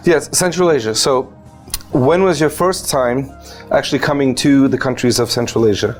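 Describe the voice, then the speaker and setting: A man, a halting interview host. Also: medium pitch, faint music behind. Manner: halting, with restarts and stumbles. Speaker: talk show host. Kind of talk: interview questions.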